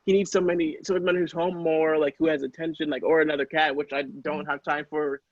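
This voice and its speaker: Man, rapid rambling chat, fairly high pitch, hesitant, dull close dry audio. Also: flat delivery, dry recording. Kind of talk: casual personal anecdote chat.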